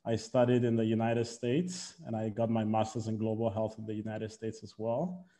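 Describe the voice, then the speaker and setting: A man's voice: on the low side, completely flat delivery, moderate pace, mellow. A man from Cameroon introducing himself on a video call panel, friendly and upbeat.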